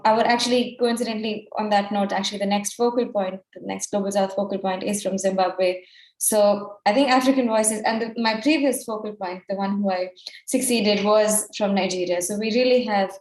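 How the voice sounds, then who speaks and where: A woman's voice: mid-range voice, darkish tone. A young woman giving an informal, explanatory answer as a panel delegate on a video call. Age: young adult. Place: online video meeting, indoor room. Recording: roomy, echoey video call.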